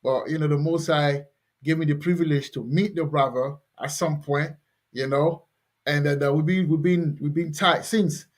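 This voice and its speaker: A man's voice: on the high side, fairly even intonation, moderate pace. A man hosting an online scripture lesson, talking loosely with many fillers and repeats. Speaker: host teaching scripture. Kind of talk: casual religious teaching over video call.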